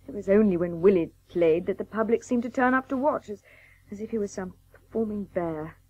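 Woman playing candid older wife, husky, relaxed. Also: medium pitch, a little fast, highs cut off, close to an everyday voice. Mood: wry. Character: older devoted wife, candid, dry, concerned. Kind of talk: radio drama acting.

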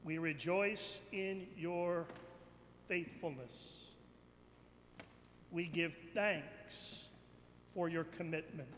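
A man delivers a sermon in a bright, forceful voice, slowly and deliberately. He declaims with weighty pauses and repeated phrases. The sound rings through a large church with some noise behind him. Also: a little high. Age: middle-aged or older. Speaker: bishop preaching. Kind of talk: sermon, slow and emphatic with pauses. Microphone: pulpit microphone. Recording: echoey church hall, background noise.

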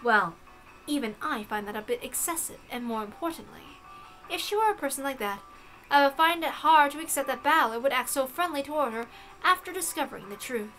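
A woman's voice: high voice, lively intonation, pushed and bright, soft background music, low-quality recording. A woman as a composed, cerebral witch. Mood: pondering. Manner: measured, formal, deliberate. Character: composed, cerebral female witch, aloof and analytical. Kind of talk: visual novel character reading.

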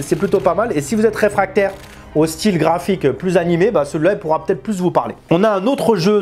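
A man's voice: a little high, a little mellow. A man, talking casually and informally to camera as a video game reviewer, using slang. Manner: informal, slangy, chatty, gesturing. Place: small indoor room with bookshelves.

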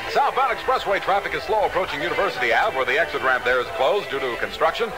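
A man's voice: on the high side, sing-song, quick pace, music in the background, rough recording. A man working as a disc jockey, giving upbeat Top 40 radio patter on an old aircheck.

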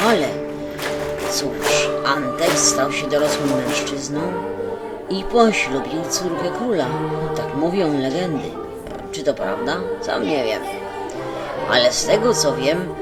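A man's voice: medium pitch, lively intonation, slow pace, over loud music. A man narrating a fairy tale as a storyteller, theatrical and musing.

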